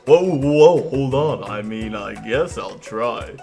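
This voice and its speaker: A man's voice: medium pitch, expressive, takes it slowly, dark tone, faint music behind. A young man co-hosting a let's-play with slangy, crude, casual banter. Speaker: gaming channel co-host.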